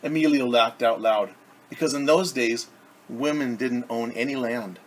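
A man's voice: medium pitch, a little slow, poor audio. A middle-aged man reading a story aloud to children as a teacher, expressively, with simple explanations, recorded in a living room at arm's length from the camera. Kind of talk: read-aloud storytelling with asides explaining words. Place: indoors in a home living room. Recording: clear background room noise.

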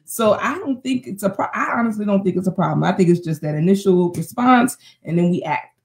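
A woman's voice: medium pitch, lively intonation, a little fast. A woman panelist on a video call, speaking animatedly with punchy rhetorical questions and frequent laughter.

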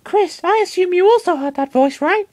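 A man voicing a young male Pokemon fighter in a shrill, eager voice. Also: normal speed, no echo, clean studio sound. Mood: excited.

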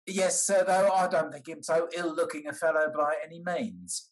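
Man playing halting, courteous old moneylender. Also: a little high, natural voice. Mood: hesitant, accommodating. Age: older. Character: older gentleman posing as a polite, hesitant moneylender. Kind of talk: period comedy stage acting over video call. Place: dim room indoors, video call.

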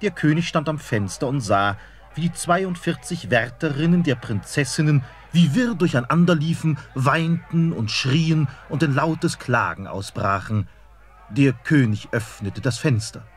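A man narrating a fairy tale in a measured storytelling manner. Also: on the high side, animated.

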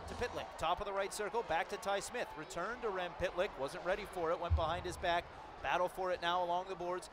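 A man calling a hockey game play by play, rattling off passes quickly and shouting out the goals. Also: sits high, fairly even intonation, music in the background. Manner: rapid calls that build to shouted goal calls. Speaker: play-by-play announcer.

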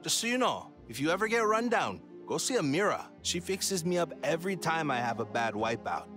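An actor playing a laid-back young surfer in a video game. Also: male voice, fairly high pitch, big pitch swings, fairly quick, a bit bright, soft background music.

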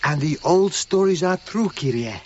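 A man's voice: a little high, animated, normal speed, a little mellow, close to an everyday voice. An actor playing a deferential yet passionate Cretan foreman with a Greek accent in a radio drama. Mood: earnest, passionate. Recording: dull lo-fi radio recording, faint noise.

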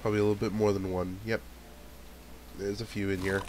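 A man's voice: on the low side, level tone, a little fast, darkish tone, a little hiss or hum, poor audio. Stuffy-nosed man narrating his gameplay. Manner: chatty, thinks aloud while playing. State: stuffy nose. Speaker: let's play gamer. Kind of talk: casual gameplay commentary. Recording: game music playing under voice. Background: game background music.

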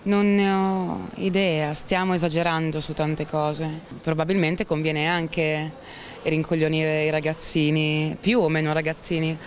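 A woman's voice: low-pitched, little pitch movement, measured pace. A woman in her thirties giving sarcastic, outspoken opinions to a street interviewer, outdoors into a handheld mic. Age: 30s. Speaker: passerby interviewed. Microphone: handheld microphone.

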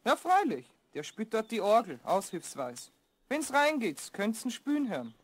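Earthy street seller, Viennese accent, blunt, exclaiming, lively swooping pitch, radio play. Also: female voice, normal speed, dry recording. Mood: matter-of-fact.

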